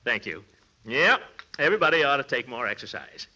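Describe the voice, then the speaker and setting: Pompous, blustering uncle, wildly swooping pitch. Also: male voice, big pitch swings, fairly quick, bright, forceful tone, exaggerated voice. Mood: pompous, self-satisfied. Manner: pompous, blustering, nervous chuckles. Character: pompous, blustering middle-aged uncle running for office. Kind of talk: 1940s radio comedy acting. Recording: dull old-time radio recording.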